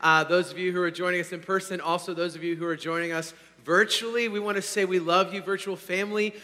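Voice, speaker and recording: Hoarse male pastor, bright and fairly high, energetic, swings from loud to hushed, long hall reverb. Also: fast. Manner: energetic, swinging from loud to quiet, repeats "all right?". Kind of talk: sermon. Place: church sanctuary.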